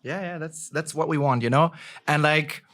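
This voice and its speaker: A German-accented man in his thirties chatting as a podcast guest. His voice sits a little high for a man. He sounds lively and upbeat, and he keeps dropping "like" and "you know" into his sentences. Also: moderate pace. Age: 30s. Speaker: guest, travel vlogger. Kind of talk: casual podcast conversation.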